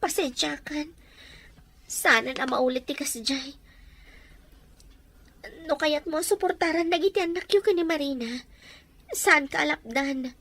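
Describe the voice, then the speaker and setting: A woman's voice: high voice, measured pace, long pauses, fairly bright tone. A woman playing an emotional, anxious wife in an audio drama, speaking in a nagging, confrontational way. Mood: apologetic, resigned. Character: jealous, anxious wife pleading over household money. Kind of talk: amateur audio drama dialogue.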